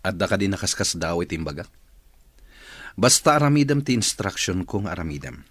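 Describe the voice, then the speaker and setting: Deep husky male boss. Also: big pitch swings, a bit bright, no room sound, exaggerated voice. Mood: firm, curt. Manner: firm, deliberate. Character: earnest, insistent male boss, commanding yet courting. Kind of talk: Ilocano radio drama acting.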